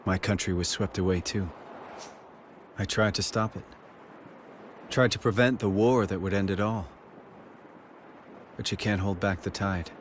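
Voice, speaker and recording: An actor playing a steady, world-weary, kind statesman in a video game, plain-spoken, reflective and reassuring. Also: deep voice, unhurried, long pauses, a little hiss or hum. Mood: somber, consoling.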